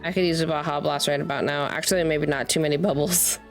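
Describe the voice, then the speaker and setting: A woman's voice: low-pitched, steady tone, fast talker. A woman streaming a video game, chatting casually and muttering to herself. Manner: talks to self, sings little filler syllables. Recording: game music behind the voice. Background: game music.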